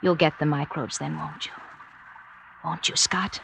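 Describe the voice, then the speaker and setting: A scheming wife from an old radio suspense play. Her voice is sharp and forceful, insistent and persuasive, with greedy resolve. The vintage recording carries faint noise. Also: low voice, very animated, a little slow, vocal fry, exaggerated voice. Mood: pressing, urgent. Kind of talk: 1940s-50s radio drama acting.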